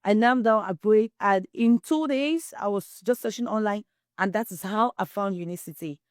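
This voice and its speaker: A woman's voice: mid-range voice, normal speed, no room sound, clean studio sound. A middle-aged woman from Cameroon, a guest in an interview, telling her personal story in an earnest, testimonial way. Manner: earnest, testimonial, quotes herself often. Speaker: guest, wellness distributor.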